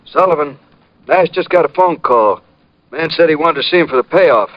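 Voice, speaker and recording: An actor as high-pitched urgent policeman. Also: lively intonation, moderate pace, natural voice. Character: urgent male policeman on surveillance. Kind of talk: 1940s-50s radio drama acting. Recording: muffled, noisy old radio recording.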